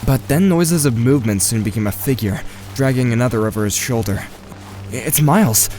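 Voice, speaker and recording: A man playing a watchful, tentative teenage boy, giving measured first-person narration in an audio drama. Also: mid-range voice, animated, darkish tone, faint music behind, lightly acted. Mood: tense.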